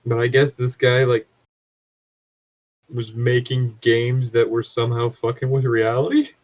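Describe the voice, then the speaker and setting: A man's voice: medium pitch, steady tone, measured pace, darkish tone. A man casually chatting and reading horror stories aloud as a podcast co-host, easily sidetracked and swearing freely.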